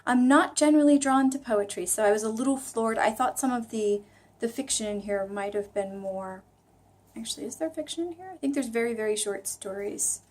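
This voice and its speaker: A woman's voice: a little high, a little fast, darkish tone. Musing woman vlogger thinking aloud. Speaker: vlog host. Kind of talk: casual reflective chat about reading.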